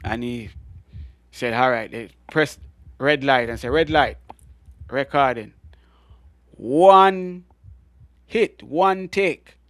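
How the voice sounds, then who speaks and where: A middle-aged Jamaican man with a deep voice, telling animated stories as a guest in an interview. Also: slow, pauses often, dry recording. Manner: animated storytelling with frequent laughs and restarts. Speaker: guest reggae artist. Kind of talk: storytelling interview.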